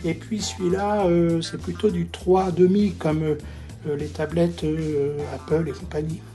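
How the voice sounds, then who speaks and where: A man's voice: on the high side, level tone, unhurried, dark tone. Hesitant man over background music. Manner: hesitant, many filler sounds. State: hoarse. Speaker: tablet reviewer. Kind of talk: casual tech review. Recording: music clearly playing behind voice.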